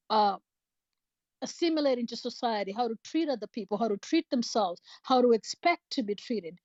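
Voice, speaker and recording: A woman's voice: fairly high pitch, darkish tone, dry recording. A woman shares her thoughts earnestly, often stopping on fillers. Her voice sits close and dry, with no room around it. The audio is muffled and lo-fi, like an online voice room. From Kenya. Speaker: guest speaker. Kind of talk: casual reflective talk.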